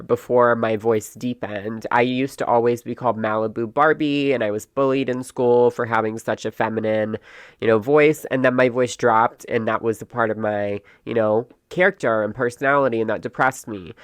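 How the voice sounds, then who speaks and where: A man's voice: mid-range voice, a bit bright, smooth, no room sound, studio quality. A man talking earnestly about his voice in a personal vlog, reflective and searching, often checking in with "right?". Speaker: creator in a clip being reacted to.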